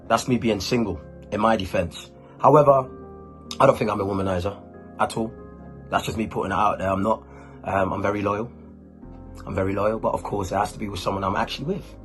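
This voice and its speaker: A man with a lowish voice talks to camera in a relaxed, informal vlogging style. He is chatty and uses urban slang, with faint music playing behind him. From Ghanaian, born and raised in Germany. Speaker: YouTuber, host. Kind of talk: casual talk to camera answering questions.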